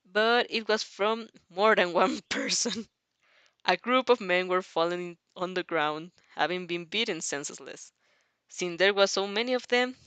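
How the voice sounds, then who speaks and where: A woman's voice: fairly low pitch, a bit bright, clear, resonant tone, no echo. Woman, giggly fanfic reader and reactor, very dry close sound. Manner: giggly, often breaks into laughter. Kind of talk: audio fanfic reading with live reactions.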